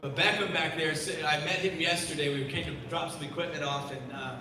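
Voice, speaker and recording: A man emceeing an event, excited and stammering as he calls someone to the stage. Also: a little high, brisk. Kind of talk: stage announcing. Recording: echoey room, far from the mic, noisy.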